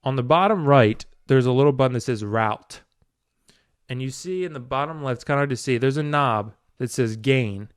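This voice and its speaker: A man's voice: mid-range voice, normal speed, clear, resonant tone, dry recording, studio quality. A man giving a casual, hands-on tutorial. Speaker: tutorial video maker.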